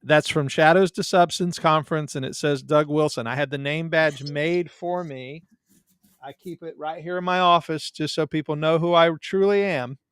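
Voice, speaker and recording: Southern-accented man, smooth and dry. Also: on the high side, fairly even intonation, normal speed, a bit bright, no echo. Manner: self-deprecating, joking storyteller. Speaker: guest. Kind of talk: casual chat.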